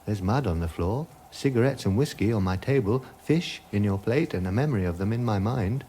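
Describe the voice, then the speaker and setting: An actor playing a vague, gentle hermit who doubts all reality, in a radio comedy drama. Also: male voice, on the low side, lively intonation, brisk, slight background noise, natural voice. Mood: musing. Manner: musing, detached, hesitant, questioning everything.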